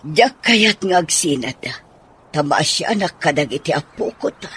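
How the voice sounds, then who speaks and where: A man playing a worried elderly in-law in a radio drama, with a husky, breathy voice and a halting, plaintive manner. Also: a little high, animated, fairly bright tone, exaggerated voice. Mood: troubled.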